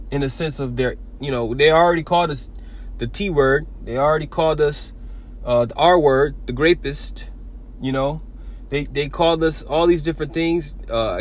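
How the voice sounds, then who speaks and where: A man giving an informal sermon video, talking in halting bursts with many repeats and restarts. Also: mid-range voice, a little hiss or hum. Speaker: preacher.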